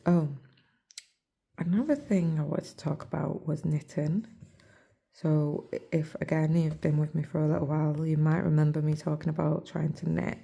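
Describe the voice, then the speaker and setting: A hoarse English woman talks in a soft, near-whispered murmur as she chats casually over her stitching. Her low voice stays level and mellow, with a gravelly, husky roughness. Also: fairly even intonation, moderate pace. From England. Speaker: cross-stitch vlogger. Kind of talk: casual chat while stitching. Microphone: phone.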